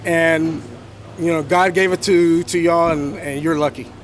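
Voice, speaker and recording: A man in his sixties from Miami, Florida chats in a relaxed, hesitant way with plenty of fillers. His voice sits a bit high, and faint outdoor ambience carries behind him. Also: unhurried, slight background noise. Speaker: interviewee. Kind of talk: casual street interview.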